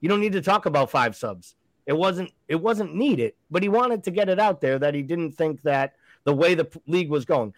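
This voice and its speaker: A man arguing his case in a casual sports chat, pushing hard and asking rhetorical questions. His voice sits a little high for a man and sounds dry and close, with no room around it. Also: animated, normal speed, no echo. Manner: emphatic, argumentative, rhetorical questions. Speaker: podcast co-host.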